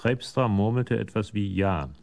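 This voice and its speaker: A man narrating a scripted radio crime play in a sober storytelling manner, with a gravelly voice. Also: on the low side, a little slow, a little mellow.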